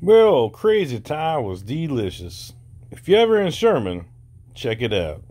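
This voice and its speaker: A man's voice: mid-range voice, huge pitch swings, unhurried, dark tone, no echo. A middle-aged man giving casual, unscripted vlog commentary in a hesitant, rambling way. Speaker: vlog host.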